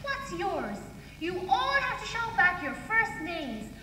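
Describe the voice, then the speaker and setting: A woman's voice: very high-pitched, sing-song, takes it slowly, a little hiss or hum, heavily acted. A young woman playing a playful princess in a stage pantomime, talking in an exaggerated way as to children. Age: young adult. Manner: bright exaggerated address to children. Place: theatre stage, live performance. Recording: echoey theatre hall, music behind.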